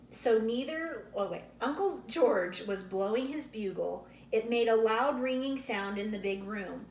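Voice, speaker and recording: A woman reading a children's book aloud expressively and unhurriedly, like a teacher explaining to young listeners. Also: medium pitch. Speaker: read-aloud storyteller, teacher. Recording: roomy echo, faint background noise.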